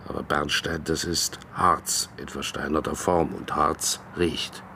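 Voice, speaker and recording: An old East German dog handler with an extremely deep, forceful voice. He is gruff and wryly nostalgic, letting halting, terse phrases fall without hurry. It is radio drama dialogue with some noise behind it. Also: level tone, exaggerated voice. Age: older.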